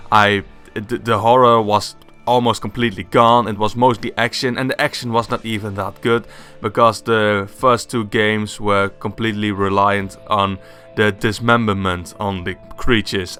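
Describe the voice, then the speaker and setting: A young man with a Dutch accent, casually giving his opinions on video games, enthusiastic and quick to laugh at himself. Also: on the low side, bright, forceful tone, clear, resonant tone. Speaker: gaming YouTuber. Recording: faint background music.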